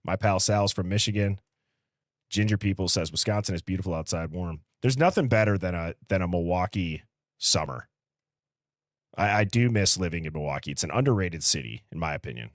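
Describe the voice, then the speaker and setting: Livestream host: American male, early thirties, low voice, close dry sound, casual and conversational. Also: expressive, fairly quick, no room sound. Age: early 30s. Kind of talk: casual live-stream chat, reading out viewer comments.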